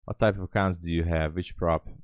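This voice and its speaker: A man's voice: sits low, steady tone, fairly quick. A man with a Hungarian accent chats casually on a livestream, rambling and thinking aloud. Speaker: live trading streamer.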